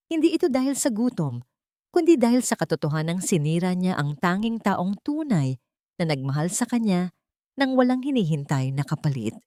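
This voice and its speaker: A woman reading a scripted romance drama as narrator, in a measured, solemn storytelling manner. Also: lowish voice, a little mellow, no echo, studio quality.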